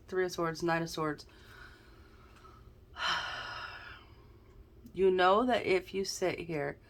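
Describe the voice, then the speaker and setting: Low-voiced woman, snuffly tarot reader. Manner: chatty, thinking aloud. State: snuffly, stuffed-up from allergies. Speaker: tarot reader, channel host. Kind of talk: casual tarot card reading.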